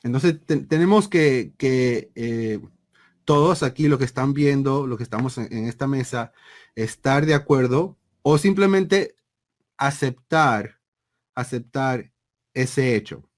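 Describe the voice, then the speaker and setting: A man, explaining things conversationally as an interview guest, deliberate and emphatic, often checking with a tag question. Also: medium pitch. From Panama. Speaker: interview guest, seminary program director.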